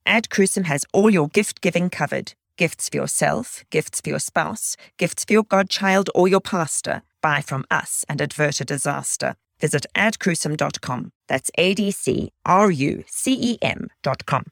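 Gravelly woman reading a rhyming ad. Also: sits low, normal speed, hardly any pauses, no room sound, clean studio sound. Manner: listing items in a singsong rhythm. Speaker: ad announcer. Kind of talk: rhyming scripted ad read.

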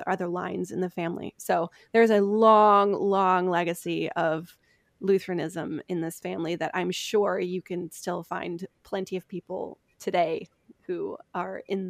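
A woman's voice: on the low side, creaky, no room sound, studio quality. A woman hosting a podcast, casually telling history from her notes with chatty, self-deprecating asides. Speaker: podcast host presenting history research. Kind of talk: casual storytelling from notes among friends.

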